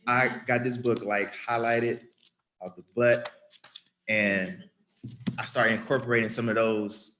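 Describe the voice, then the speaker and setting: A man's voice: mid-range voice, level tone, measured pace, pauses often, sharp tone, lo-fi sound, a little hiss or hum. A man around thirty giving an earnest, rambling spoken testimony in church, often restarting and repeating himself. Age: about 30.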